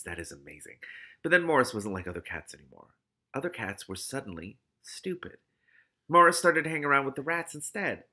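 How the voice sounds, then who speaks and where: A man reading a novel aloud in an expressive, animated way, adding his own exclamatory commentary. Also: medium pitch. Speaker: host of a read-aloud commentary show.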